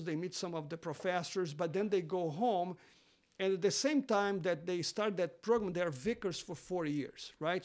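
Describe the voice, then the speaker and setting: High-voiced man, not a native English speaker. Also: a little mellow. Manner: halting, with many fillers and restarts. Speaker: seminary teacher. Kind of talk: podcast interview, guest.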